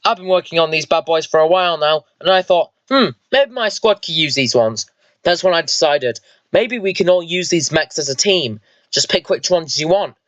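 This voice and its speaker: A man's voice: fairly high pitch, expressive, normal speed, fairly bright tone, no echo, highs cut off. A man voicing an eager, vengeful squad leader and mech pilot in an amateur sci-fi story, informal and explanatory. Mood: proud, explaining.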